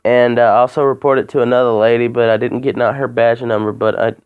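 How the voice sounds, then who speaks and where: A man making a complaint by phone, talking in a halting, rambling way and repeating himself. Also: mid-range voice, level tone, smooth. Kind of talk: phone call, informal account. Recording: muffled phone call recording.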